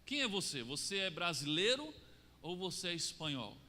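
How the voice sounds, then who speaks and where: A Brazilian man preaching a sermon, swinging between raised lines and low, quiet drops for emphasis. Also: sing-song, normal speed. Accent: Brazilian Portuguese. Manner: rises and falls, drops low to stress points. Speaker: pastor. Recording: long reverb of a church hall.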